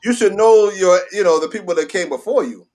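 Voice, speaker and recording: A man's voice: high-pitched, a little fast, a little mellow. A middle-aged American man chatting casually as an interview guest, emphatic and slangy, often repeating himself to drive a point home. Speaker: guest, veteran hip hop DJ and host. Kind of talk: casual chat.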